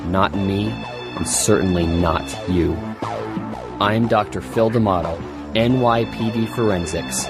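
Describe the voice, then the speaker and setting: A man's voice: lowish voice, a little slow, natural voice. A man playing a grave, determined New York police forensic detective in a dramatic audiobook trailer. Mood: grave, haunted. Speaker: promo narrator. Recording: loud music under the voice.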